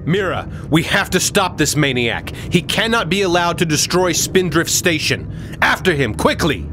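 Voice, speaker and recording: A man's voice: a little high, sing-song, moderate pace, over music. A man playing a noble, resolute superhero king in a video game, earnest and commanding. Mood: defiant. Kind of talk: dramatic video game acting.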